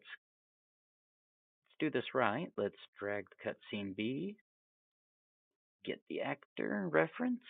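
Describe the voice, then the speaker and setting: Tinny-sounding man with wildly swinging pitch. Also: creaky, no echo. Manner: rambling, self-amused asides in a chatty, jokey way. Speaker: tutorial presenter. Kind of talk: casual, off-the-cuff software tutorial narration.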